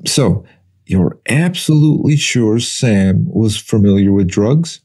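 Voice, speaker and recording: An actor playing a deceitfully tame male detective sergeant, measured, formal and probing, in a gritty audiobook drama. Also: on the low side, animated, mellow, breathy edge, heavily acted. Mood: calm, probing.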